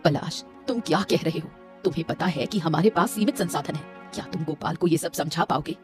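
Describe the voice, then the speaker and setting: A woman plays a stern cartoon mother-in-law. She scolds and worries in a lowish, firm, reproachful voice, with music behind her. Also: brisk, natural voice. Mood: disapproving. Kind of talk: Hindi moral-story cartoon voice acting.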